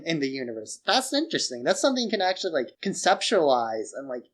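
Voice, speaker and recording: A man's voice: on the high side, fairly bright tone. Opinionated man co-hosting a film podcast. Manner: opinionated, critical, analytical. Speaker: podcast co-host. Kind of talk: casual film discussion podcast.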